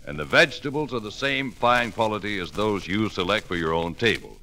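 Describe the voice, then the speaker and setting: A man's voice: on the low side, sharp tone, slight background noise. A male announcer reading scripted commercial copy in a folksy, salesmanlike 1940s radio style. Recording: vintage 1940s radio broadcast.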